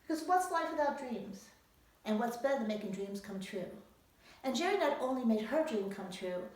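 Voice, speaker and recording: A woman author giving an emphatic storytelling talk to young readers, posing rhetorical questions. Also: medium pitch. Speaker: author presenting own book to young readers. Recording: very echoey room, distant from microphone.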